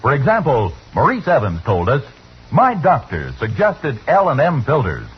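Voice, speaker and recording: A man's voice: medium pitch, wildly swooping pitch, moderate pace, breathy edge. A man announcing a 1950s radio commercial in an emphatic, polished sales delivery. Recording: dull, noisy vintage radio recording.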